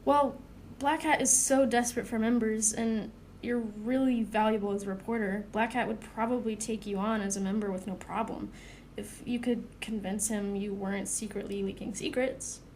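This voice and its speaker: Actress as dependable young male student, audio drama: lightly high voice, sincere and conversational, some noise behind. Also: fairly even intonation, normal speed, a little mellow, close to an everyday voice, rough recording. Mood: eager, persuasive. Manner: earnest, conversational.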